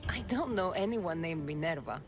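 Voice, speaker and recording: An actress playing a principled, impatient Greek heroine in a video game, wry and direct. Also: female voice, low-pitched, expressive, moderate pace, soft background music. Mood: puzzled, dry. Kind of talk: video game cutscene acting.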